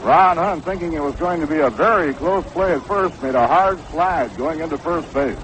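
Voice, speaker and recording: An American man calling baseball play-by-play in 1960s radio style, with a measured, unhurried, factual delivery. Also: a little high, a bit bright. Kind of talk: 1960s live radio sports commentary. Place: ballpark broadcast booth. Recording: dull, noisy 1960s AM radio broadcast.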